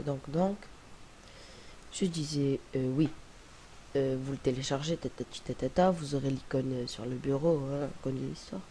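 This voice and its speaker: A young man with a cold, talking through a casual screen-recorded software tutorial in a rambling, hesitant way. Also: on the high side, low-quality recording. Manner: rambling, hesitant, many fillers and restarts. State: has a cold. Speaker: tutorial presenter. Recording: faint hiss on home recording.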